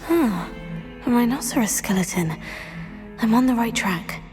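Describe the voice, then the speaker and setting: A woman's voice: a little high, lively intonation, a little slow, music in the background. A young woman with a British accent playing a curious, plucky teenage witch in a video game, composed and musing.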